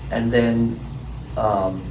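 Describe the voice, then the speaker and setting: A man's voice: mid-range voice, little pitch movement, extremely slow, noisy background. Indian man in an echoey room. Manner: rambling, hesitant with fillers and restarts. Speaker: SAT tutor, guest. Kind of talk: anecdotal storytelling in an interview. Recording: echoey room, distant mic, humming air conditioning.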